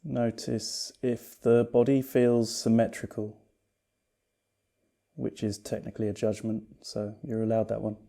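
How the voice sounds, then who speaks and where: A man leading a guided yoga meditation as a teacher, calm and unhurried, speaking in a soothing, gently reassuring way. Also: lowish voice, vocal fry.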